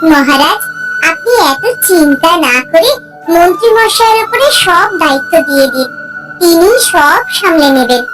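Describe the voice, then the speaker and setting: Woman playing a young queen, gentle, calm, soothing, very high, clear music behind. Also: normal speed, low-quality recording.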